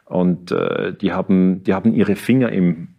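A middle-aged man, answering interview questions as a historian in a measured, explanatory way, with frequent hesitations and restarts. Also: sits low, fairly even intonation, lo-fi sound.

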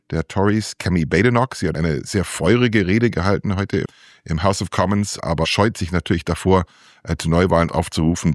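A man with a low-pitched voice comments on politics as a correspondent in an interview. He talks without a script. The sound is dry, with very little room in it. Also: no echo. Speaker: political correspondent reporting from London.

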